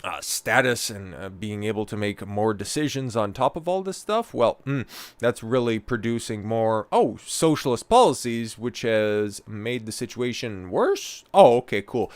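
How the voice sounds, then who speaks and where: A man with a fairly low, smooth, resonant voice hosts a livestream, reacting to clips with sarcastic, rambling asides and plenty of ums. The sound is dry and close, with no room around it. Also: animated, unhurried, no room sound. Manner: sarcastic, rambling, with many fillers. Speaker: livestream host. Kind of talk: casual reaction commentary.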